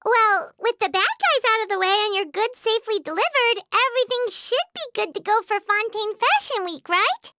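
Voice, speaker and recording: A woman voicing a tiny, excitable, chirpy fairy companion in a video game. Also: extremely high pitch, moderate pace, few pauses, no room sound, clean studio sound, exaggerated voice. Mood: cheerful, chatty. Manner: refers to self in third person, exclamatory. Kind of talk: video game character acting.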